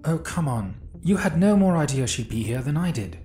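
A man's voice: fairly high pitch, sing-song, normal speed, dark tone. A man playing a wary, questioning young farm-raised adventurer in a fantasy audio drama. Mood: exasperated. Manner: doubtful, questioning.

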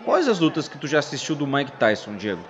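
A man's voice: fairly high pitch, wildly swooping pitch, fairly quick. A man hosting and commentating casually over old fight footage, joking with his co-host. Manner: chatty, jokes with his co-host. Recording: dull sound with loud crowd behind. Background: crowd noise from fight footage.

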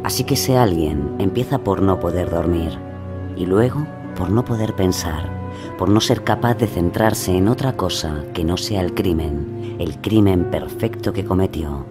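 An actor playing a brooding narrator, telling a crime tale in a deliberate, ominous dramatic style. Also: male voice, deep voice. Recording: music behind the voice.

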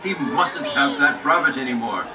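A man playing a brisk, authoritative doctor in a children's puppet show, giving emphatic delivery. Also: medium pitch, animated, close to an everyday voice. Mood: urgent, commanding. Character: brisk, commanding male doctor. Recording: echoey, noisy puppet theater, distant.

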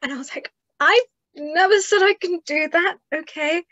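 A young adult woman co-host telling a quick anecdote, talking fast and excitedly. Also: extremely high pitch, no echo. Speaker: podcast co-host. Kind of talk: casual anecdote. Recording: dull lo-fi mic.

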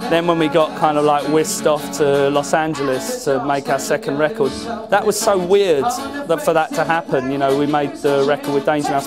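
A man, a band musician, giving casual interview answers in an informal, rambling way. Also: fairly high pitch. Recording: clear music playing behind. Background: music at a live event.

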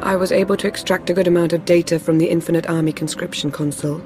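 A woman playing a composed Sith intelligence adviser in a video game, grave, formal and measured. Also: lowish voice, steady tone, music in the background, natural voice. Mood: grave resolve. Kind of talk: dramatic video game acting.

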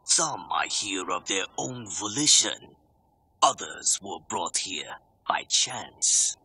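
Sharp, haughty old sorcerer. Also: male voice, low-pitched, expressive, takes it slowly, breathy edge, dry recording, exaggerated voice, poor audio. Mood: imperious. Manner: theatrical, commanding proclamations. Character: sinister old sorcerer, grand tournament master, haughty. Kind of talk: video game villain acting.

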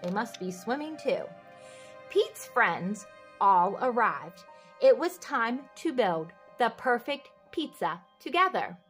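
Woman, children's read-aloud host, high-pitched, very slow, sing-song, asks listeners questions. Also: a bit bright, husky. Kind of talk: expressive children's picture-book read-aloud with asides.

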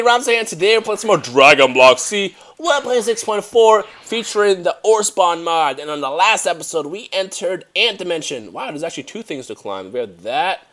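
Young guy who grew up in germany rambling through a game on a headset, gets excited fast. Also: a little high. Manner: excitable, rambling, talks to himself while playing. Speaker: gaming youtuber. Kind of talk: casual let's play commentary.

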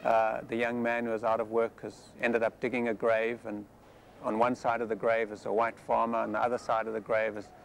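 A South African man in his forties speaks in a gravelly, fairly flat voice, weighing his words with many small pauses. He is recorded outdoors on steps in a city square, with faint street noise behind him. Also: fairly low pitch. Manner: measured, thoughtful, with many hesitations. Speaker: film director. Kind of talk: interview monologue.

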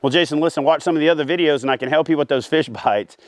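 A man, hosting a fishing channel and giving casual advice straight to camera outdoors by a lake, animated and full of "uh" fillers. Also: fairly high pitch, very fast, no echo. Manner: animated, talks with his hands, many "uh" fillers. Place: outdoors by a lake among trees.

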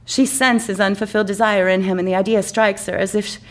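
A woman's voice: mid-range voice, fairly even intonation, a little fast. A woman reading her own comic story as narrator, in a florid, mock-serious romantic style. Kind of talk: mock-romantic scripted narration for a live comedy story night.

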